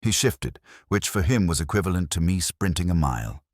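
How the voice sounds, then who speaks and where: A man's voice: deep voice, lively intonation, brisk, dry recording, clean studio sound. A man narrating a scripted detective story in a dramatic, wry first-person manner. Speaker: narrator and host of a mystery story channel.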